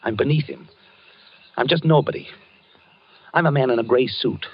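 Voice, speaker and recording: An actor playing a tense, haunted husband and architect in a 1940s radio drama, brooding and jumpy. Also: male voice, mid-range voice, normal speed, slightly rough, close to an everyday voice. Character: haunted, obsessed husband Harry, middle-aged architect. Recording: dull old radio broadcast.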